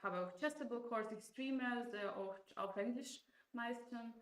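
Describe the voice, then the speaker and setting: A woman's voice: a little high, a little slow. Woman, hesitant, in an echoey room. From Ukraine. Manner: hesitant, many fillers and restarts, laughs often. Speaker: guest co-commentator. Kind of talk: casual chat on a chess stream.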